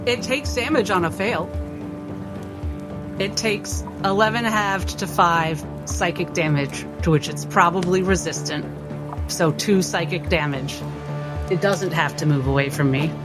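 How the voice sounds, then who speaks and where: A woman's voice: on the low side, measured pace. Woman playing a tabletop game over music. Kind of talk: casual game-table talk. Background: background music.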